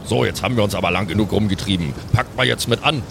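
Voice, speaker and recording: Clear ambient noise runs behind a man with a lowish voice. He talks casually as a tabletop player, describing his moves and chatting with the group. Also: fast. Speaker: player in a tabletop roleplaying game. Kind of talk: casual improvised game chat.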